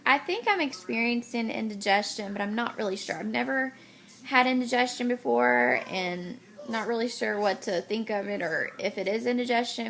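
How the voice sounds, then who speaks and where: Chatty young woman with a gravelly edge. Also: a little high, a bit bright. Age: 20s. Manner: chatty, rambling with many ums. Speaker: vlogger. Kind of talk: casual chat to camera.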